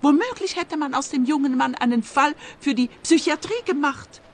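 An actress playing a haughty, disdainful and opinionated art lover in a radio play, with a lofty, declaiming manner. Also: female voice, high-pitched, lively intonation, normal speed. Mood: scornful. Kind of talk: radio crime play acting.

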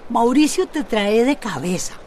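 Nosy neighbour lady, highish voice. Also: lively intonation, unhurried, a little mellow, slight background noise. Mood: prying, knowing. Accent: Costa Rican. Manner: inquisitive, teasing. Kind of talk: radio soap opera acting.

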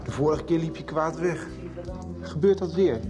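An actor playing an earnest, evasive teenage schoolboy, hesitant and informal, in a Dutch radio soap. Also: male voice, fairly high pitch, moderate pace, music in the background, natural voice. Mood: reluctant.

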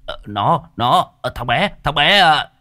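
A man's voice: fairly high pitch, sing-song, a little slow, pushed and bright, voice pushed up, exaggerated voice. A man voicing an effeminate, petulant male spirit medium in an audio story, flustered, stammering and indignant.

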